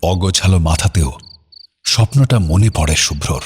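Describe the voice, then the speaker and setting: A man's voice: low voice, expressive, moderate pace, darkish tone, slight background noise. A man narrating an audio story in a solemn, literary and dramatic way.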